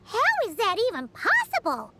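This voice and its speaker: A woman voicing a tiny, childlike, excitable fairy companion in a video game, with a squeaky voice and an exclamatory manner. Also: normal speed, very noisy, full character voice. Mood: confused. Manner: exclamatory, refers to self in third person.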